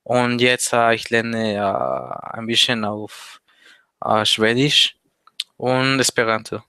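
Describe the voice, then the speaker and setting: Teen guy, slow hesitant talk, bright super clear voice. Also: medium pitch, no room sound. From Mexico. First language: Spanish. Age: teens. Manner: hesitant, many pauses and fillers. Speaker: interview guest, language learner. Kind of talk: casual interview chat.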